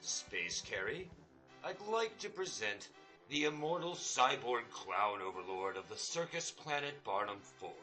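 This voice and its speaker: A man's voice: medium pitch, very animated, a little slow, sharp tone, soft background music, rough recording. A man playing a self-pitying, sheepish space captain in a comic sci-fi radio play, with wry, hammy delivery. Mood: sheepish, resigned.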